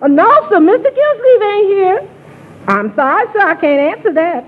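An apologetic, plain-spoken woman cook with a very high, animated voice that swings widely. The style is 1940s radio comedy, heard through a thin, tinny broadcast. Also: normal speed, slight background noise. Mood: flustered, apologetic.

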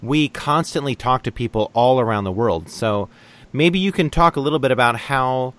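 A man's voice: mid-range voice, animated, normal speed. A man hosting a casual online radio interview, chatty and enthusiastic, with plenty of fillers. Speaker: podcast host. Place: lives in Taiwan.

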